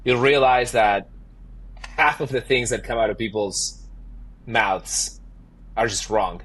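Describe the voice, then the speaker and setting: Husky Argentinian man, animated. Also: on the low side, takes it slowly, low-quality recording. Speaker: startup founder and CEO. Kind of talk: podcast interview answers.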